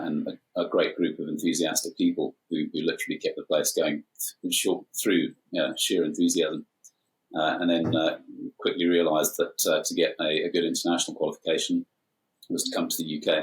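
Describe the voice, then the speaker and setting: A man's voice: a bass voice, rough recording. A man in his forties from Zimbabwe, an airline captain talking as a guest in a casual chat, with many hesitant fillers.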